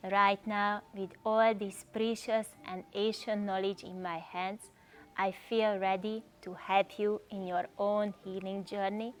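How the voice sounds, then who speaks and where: A woman's voice: mid-range voice, level tone, a little slow. A woman from Hungary, an energy healer giving a scripted introduction of herself.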